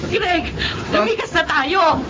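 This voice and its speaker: High, frantic Filipino complainer. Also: female voice, slow, noisy background, full character voice. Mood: anxious complaining. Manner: urgent, complaining, excitable. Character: anxious, nagging woman fleeing a storm. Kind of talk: Filipino radio drama acting. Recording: loud storm ambience over voice.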